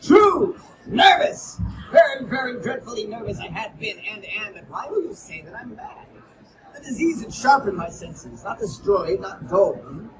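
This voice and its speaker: A man's voice: high voice, sing-song, moderate pace, a crowd in the background. A man reading aloud as a nervous, insistent madman confessing his story, swinging between whispers and outbursts in a live reading. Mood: feverish. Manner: feverish, shifting from whispers to outbursts. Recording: distant mic with background noise.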